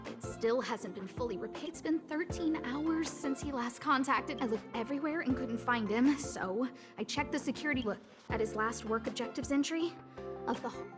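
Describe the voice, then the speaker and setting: Woman acting impulsive young female, high voice, earnest, worried, excitable, video game character, music behind. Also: normal speed, natural voice. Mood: anxious.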